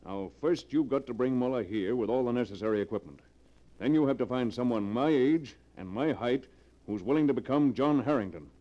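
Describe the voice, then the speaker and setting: A man's voice: mid-range voice, brisk, natural voice. Man playing a ruthless, domineering radio-drama tycoon. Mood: commanding, impatient. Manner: forceful, imperious, theatrical. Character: ruthless, domineering middle-aged millionaire businessman turned killer. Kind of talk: 1940s radio melodrama acting. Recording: dull, muffled vintage radio recording.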